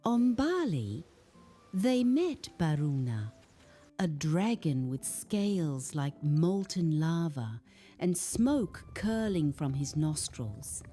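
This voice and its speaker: A woman narrating a scripted children's bedtime story in a soothing, expressive storybook manner. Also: low voice.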